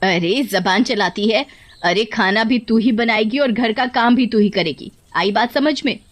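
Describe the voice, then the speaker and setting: Casting: woman to act a harsh older mother-in-law, nagging, scornful, sharp-tongued, cartoon drama. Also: on the high side, unhurried. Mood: angry, scornful.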